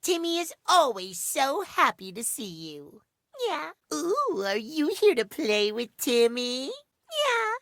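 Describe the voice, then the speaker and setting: A man's voice: very high-pitched, huge pitch swings, slow pace, vocal fry, pushed and bright, no echo, full character voice. An eager lil clock boy for a game, cutesy and adoring, really swoopy voice, dry sound. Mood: adoring, playful. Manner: cutesy, coy, speaks of self in third person. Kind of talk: theatrical video game character acting.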